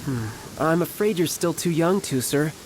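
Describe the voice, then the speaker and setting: Smooth, playful, lively young man. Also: fairly high pitch, measured pace, a little hiss or hum, rough recording. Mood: gentle, reassuring. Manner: breezy, polite, theatrical. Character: smooth, playful young male harbinger posing as toy seller. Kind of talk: video game voice acting.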